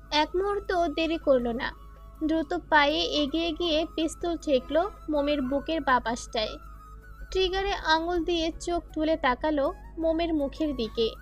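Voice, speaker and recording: Woman storyteller, pretty high voice, scripted narration w soft music behind. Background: faint music.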